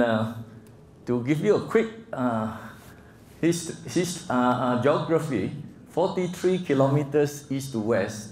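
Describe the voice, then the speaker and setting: A Singaporean man in his sixties giving a slide presentation to a group, speaking in a halting, gently humorous way. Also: medium pitch. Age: 60s. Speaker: guest speaker. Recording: echoey room with faint background noise.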